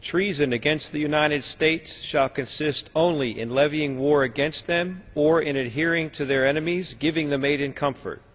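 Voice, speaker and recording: Man, fairly high husky voice, formal reading, reverberant hall. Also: moderate pace, few pauses, fairly bright tone. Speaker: legislator reading a legal text aloud. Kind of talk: formal read-aloud. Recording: reverberant large legislative chamber.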